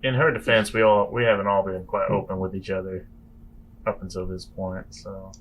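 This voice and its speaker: A man's voice: deep voice, flat delivery, moderate pace, a bit bright, slight background noise, rough recording. A man playing a tabletop roleplaying game, talking in a conversational in-character way.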